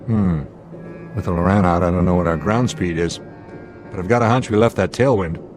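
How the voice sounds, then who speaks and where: An actor playing a steady airline captain in a radio drama, speaking with measured authority and a wry edge. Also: male voice, low-pitched, soft background music, close to an everyday voice. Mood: grave, decisive. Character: steady, commanding male airline captain under pressure.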